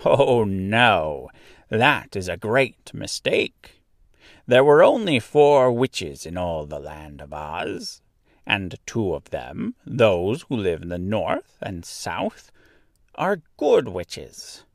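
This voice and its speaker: Man voicing a slow, lilting little old good witch. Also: lowish voice, creaky, dry recording, studio quality, exaggerated voice. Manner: deliberate, stretched-out, explanatory phrasing. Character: kindly little old woman, a good witch, calm and assured. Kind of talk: storybook character voice.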